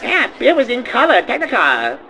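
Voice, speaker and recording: Man putting on a high, creaky, perky girl. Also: wildly swooping pitch, brisk, pushed and bright, soft background music, full character voice. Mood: coy, bargaining. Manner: giggly, rambling, folksy gosh-golly talk. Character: perky, naive, self-absorbed Southern small-town girl. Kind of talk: improvised comedy radio play.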